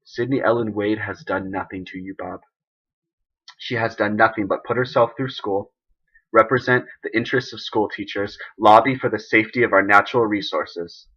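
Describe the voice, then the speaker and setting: Man acting a forceful, husky, indignant president. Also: on the low side, steady tone, dull audio. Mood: scornful, pointed. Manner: oratorical, emphatic, deliberate. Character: embattled US president, a firm, indignant, idealistic man. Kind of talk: dramatic film monologue as a televised speech.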